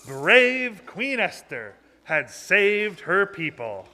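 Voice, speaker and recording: A man theatrically narrating a storybook puppet show for children, with emphatic, deliberate delivery. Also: sits high, very animated, sharp tone. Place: social hall, live before an audience. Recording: echoey social hall with noise.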